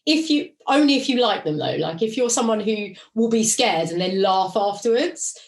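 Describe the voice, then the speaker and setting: A woman co-hosting a casual podcast, chatty and quick to laugh, with lots of "like" in her talk. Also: medium pitch, animated, roomy sound. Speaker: podcast co-host.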